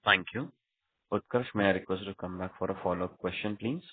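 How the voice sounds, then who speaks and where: A man's voice: sits low, quick pace, slightly rough. A man moderating a conference call with formal, scripted announcements. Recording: phone-like conference line.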